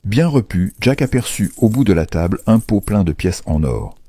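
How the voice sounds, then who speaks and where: A man narrating a children's fairy tale from a script in an expressive, playful storytelling manner. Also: lowish voice, normal speed, slightly rough, dry recording.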